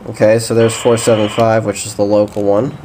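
A man's voice: on the low side, flat delivery, a little fast, darkish tone. A man giving a casual, hesitant hands-on demonstration of radio gear. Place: small indoor room at a desk. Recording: noisy amateur camcorder or phone audio.

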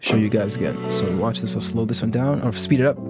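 A man's voice: on the low side, fast. Man giving a casual tutorial over music. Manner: informal, step-by-step, often checking in with okay and right. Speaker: music production tutorial host. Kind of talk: casual software tutorial, explaining while demonstrating. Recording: music and drum samples playing behind. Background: instrumental music and beat loops.

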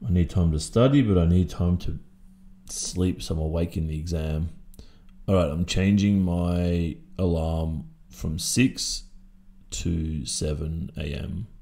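Guy playing a tired aussie student boyfriend in an audio roleplay, creaky low voice, stressed but sweet, mumbles to himself. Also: slow, mellow, heavily acted. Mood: sleepy, stressed. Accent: Australian. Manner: drowsy, muttering to himself with sighs and hums. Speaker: roleplay voice performer.

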